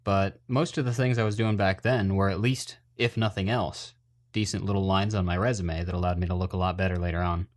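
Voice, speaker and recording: A man with a lowish voice co-hosts an advice podcast. His delivery is casual and colloquial, like everyday conversation. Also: fairly even intonation, brisk. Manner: informal, colloquial. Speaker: podcast co-host.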